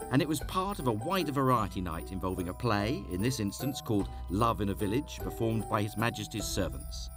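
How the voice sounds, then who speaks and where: Male documentary narrator, lowish voice, scripted read over clear background music.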